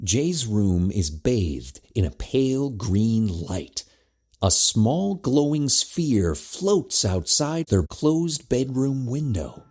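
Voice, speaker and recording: A man's voice: mid-range voice, big pitch swings, slow, very noisy. A man narrating a scripted teen adventure audio drama as the storyteller. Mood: measured, dramatic.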